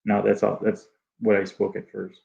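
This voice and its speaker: Male guest, native Arabic speaker, gravelly, hesitant delivery, dull lo-fi sound. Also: on the low side, completely flat delivery, mellow. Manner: halting, many restarts and fillers. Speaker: guest artist. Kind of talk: casual interview conversation.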